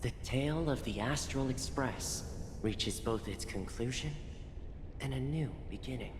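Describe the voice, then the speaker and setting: An actor narrating a game story as a solemn, grave chronicler, with measured and portentous delivery. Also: male voice, mid-range voice, over music, close to an everyday voice. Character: solemn storyteller chronicling a fallen dream world. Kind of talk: dramatic scripted narration. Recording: roomy long reverb, clear noise.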